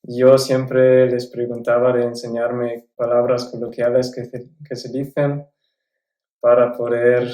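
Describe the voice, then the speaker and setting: Slow, flat-voiced young man, native German. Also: medium pitch. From South Tyrol, Italy. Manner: careful, measured learner's Spanish with restarts. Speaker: guest. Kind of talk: casual interview answers. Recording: echoey room.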